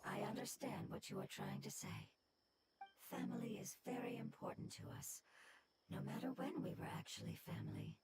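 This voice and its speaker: Actress playing a gentle female game interpreter, fairly high voice, patient, reassuring, loud ambient noise. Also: lively intonation, moderate pace, lightly acted. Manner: patient, explanatory. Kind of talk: scripted game dialogue.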